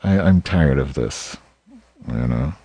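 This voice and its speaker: A man's voice: very deep voice, expressive, takes it slowly, creaky, warm tone, husky, no room sound. A man co-hosting a casual comedic talk show, rambling and sarcastic, cursing freely.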